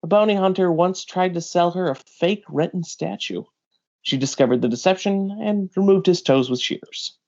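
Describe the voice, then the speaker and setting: A man reading a big, seasoned pirate bounty hunter from a comic, wry, measured and explanatory. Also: high-pitched, darkish tone, highs cut off.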